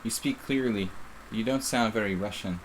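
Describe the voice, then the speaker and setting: A man's voice: lowish voice, normal speed, a little hiss or hum, poor audio. A middle-aged man with a faint Philadelphia accent, casually commenting on his own live chess game in a rambling, self-interrupting way. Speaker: chess streamer.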